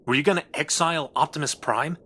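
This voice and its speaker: A man's voice: a little high, quick pace, pushed and bright. A man playing a brash, cocky robot who argues in a sarcastic, needling way in an audio drama. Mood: sarcastic, challenging. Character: brash, sardonic male robot rival, cocky and argumentative.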